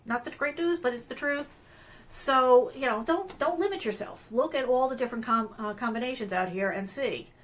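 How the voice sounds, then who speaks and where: A woman's voice: a little high, moderate pace. A middle-aged woman hosting a live career webcast, talking in a loose, rambling way with many fillers and restarts, her voice strained from allergies. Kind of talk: casual live-stream talk with screen sharing.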